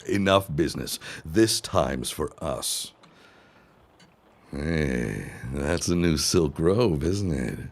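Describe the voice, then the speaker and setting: Man playing a very deep, suave schemer. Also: expressive, creaky, full character voice. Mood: smug, seductive. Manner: suave, languid, drawn-out. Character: smug, sly, seductive ambitious gentleman of 1916. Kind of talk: period audio drama acting.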